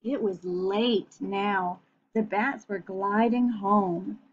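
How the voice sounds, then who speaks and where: A woman reading a picture book aloud for children in an expressive, gentle way, with chatty asides. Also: medium pitch, very slow, slight background noise. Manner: expressive, gentle, addressing young listeners. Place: small room at home. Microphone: computer webcam at arm's length. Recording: dull, muffled webcam recording.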